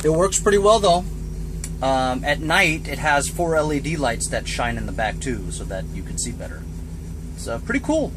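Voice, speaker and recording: A man's voice: medium pitch, big pitch swings, normal speed, noisy background. A man giving a casual, off-the-cuff gadget review in a laid-back, colloquial way, as a vlogger talking inside a van.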